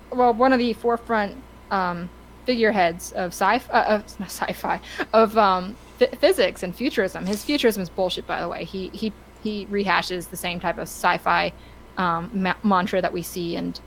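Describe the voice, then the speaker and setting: A woman co-hosting a podcast, talking casually and with opinions in a halting, stop-start way as she searches for words. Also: mid-range voice, a little hiss or hum, rough recording. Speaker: podcast co-host.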